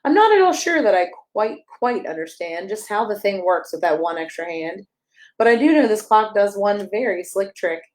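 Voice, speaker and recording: A woman's voice: medium pitch, sing-song, moderate pace. A woman reading a rhyming children's picture book aloud as a storyteller, in a playful, sing-song way with emphasis on the rhymes.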